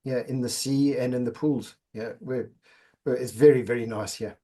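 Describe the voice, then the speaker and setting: A man's voice: medium pitch, fairly even intonation, normal speed, warm tone. A middle-aged man from Zimbabwe, a podcast guest chatting casually and often repeating words as he goes. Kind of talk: casual interview chat.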